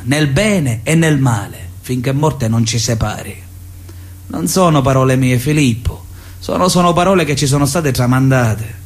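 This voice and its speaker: An actor playing a pious, paternalistic Sicilian mafia elder, solemnly sermonizing with veiled menace in a radio drama letter. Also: male voice, fairly high pitch, lightly acted. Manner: solemn, sermonizing, measured insistence. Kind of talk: theatrical radio drama monologue, letter read aloud.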